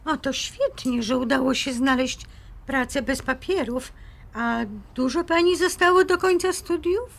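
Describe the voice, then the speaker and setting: High-voiced, icily polite hostess. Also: female voice, measured pace. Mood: politely interested. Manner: formal, icily polite, firm. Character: proud, composed woman defending her own home. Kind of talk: audio drama acting.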